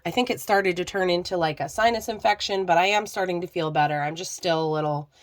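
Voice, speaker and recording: A young woman, slightly hoarse from a lingering cold, gives a casual tarot reading straight to the viewer, wandering through her thoughts with lots of fillers. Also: fairly low pitch, fast, no echo. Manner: meandering, many fillers, addresses viewer directly. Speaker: tarot reader.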